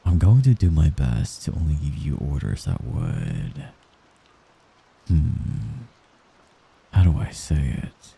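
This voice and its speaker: A man playing a young mafia heir in an intimate ASMR roleplay, a gentle, hesitant and possessive friend who gives orders apologetically. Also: extremely low pitch, huge pitch swings, gravelly, husky, exaggerated voice. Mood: earnest, cautious. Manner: soft-spoken, halting, apologetic while giving orders.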